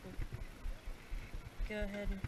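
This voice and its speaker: A teenage boy with a high voice talks casually to his viewers as a fishing vlogger. He is out in a small boat, and the action camera picks him up from a distance under loud outdoor noise. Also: completely flat delivery, a little slow. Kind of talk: casual outdoor vlog chat.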